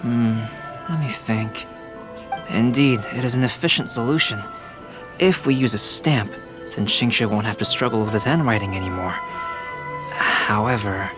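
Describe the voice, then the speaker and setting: A man playing a composed, analytical young scholar in an anime game, speaking in a measured, precise way. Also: mid-range voice, close to an everyday voice. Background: music.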